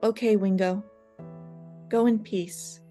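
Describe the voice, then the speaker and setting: A woman's voice: medium pitch, fairly even intonation, takes it slowly, dark tone, faint music behind, lightly acted. A woman playing a young, troubled female mouse in an audio drama, earnest and plaintive. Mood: distressed.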